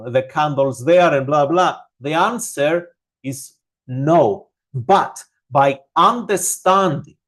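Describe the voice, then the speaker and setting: A man with a Greek accent giving live market analysis and answering viewer questions, speaking loosely with many fillers. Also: a little high. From Greece. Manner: unhurried, many fillers, addresses viewers as guys. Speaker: forex analyst hosting a live stream.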